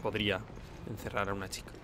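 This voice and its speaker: A man's voice: on the low side, level tone, quick pace, fairly bright tone, a little hiss or hum. Spanish man muttering through casual game commentary. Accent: Spain. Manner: informal, swearing, muttering to himself. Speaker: let's play host.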